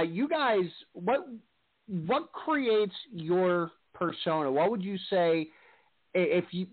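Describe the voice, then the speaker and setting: A man hosting a casual interview with a band, who rambles and restarts his questions. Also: fairly high pitch. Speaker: interview host. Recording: tinny phone-like sound.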